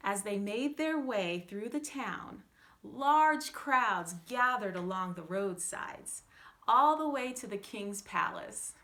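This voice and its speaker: A woman narrates a classic fairytale for children. Her pace is very slow and her intonation lively and varied, with theatrical stress on drawn-out words. Her pitch sits in a middle range. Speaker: storyteller.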